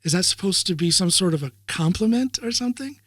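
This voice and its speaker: A man's voice: sits high, animated, fast talker, fairly bright tone, no room sound. A man from the United States with a slightly raised voice, hosting a casual interview. He chats easily, often repeating a word or two before his thought comes out. The sound is dry and close. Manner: friendly, repeats words when starting. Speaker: podcast host.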